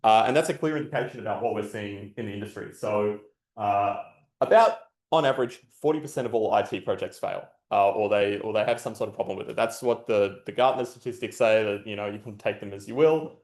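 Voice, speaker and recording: Loud man talking in an echoey hall. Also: lowish voice, fairly quick. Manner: loose and blunt, swears, many filler sounds. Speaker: conference speaker. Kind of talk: casual conference talk. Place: large conference hall. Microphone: podium microphone. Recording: roomy hall echo.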